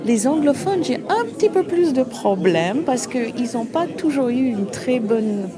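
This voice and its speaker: A middle-aged woman, an English speaker, answering interview questions at an open day, halting and searching for words with frequent restarts. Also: fairly high pitch, big pitch swings. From United States. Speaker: association representative. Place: busy open-day event. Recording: crowd noise behind. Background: crowd chatter.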